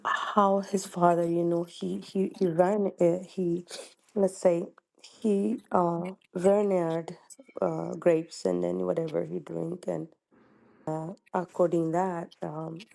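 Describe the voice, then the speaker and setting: A woman from Ethiopia with an Ethiopian accent, calling in with a question and speaking haltingly, searching for words. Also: on the low side, level tone, creaky, darkish tone, low-quality recording. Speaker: caller.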